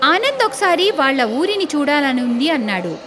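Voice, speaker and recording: A woman's voice: high-pitched, very animated, normal speed, a crowd in the background. A woman narrating a scripted cartoon story in a dramatic style. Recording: clearly noisy background.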